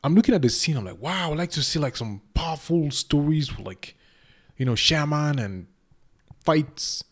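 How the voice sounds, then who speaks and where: A young man from Cameroon reacting to a video in casual commentary, excitable and stammering through restarts. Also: a little high, moderate pace.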